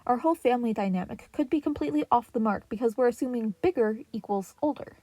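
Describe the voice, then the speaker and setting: A woman plays a brainy teenage turtle with a dry, smug deadpan. The voice sits a little high and stays close and dry. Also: fast talker, no room sound, close to an everyday voice.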